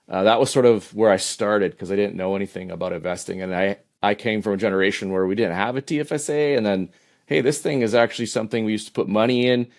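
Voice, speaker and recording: Casting: Canadian man, fifties, low voice, easygoing, halting guest answers. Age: 50s. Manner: relaxed, hesitant, many "uh" and restarts. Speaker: podcast guest. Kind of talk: casual interview answers.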